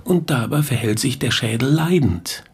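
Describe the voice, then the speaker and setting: Husky, pedantic professor of anatomy. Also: male voice, mid-range voice, animated, normal speed. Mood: pedantic, absorbed. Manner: formal, pedantic lecturing. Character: learned professor of skull science, lecturing pedantically in 1804. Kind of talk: German radio drama acting.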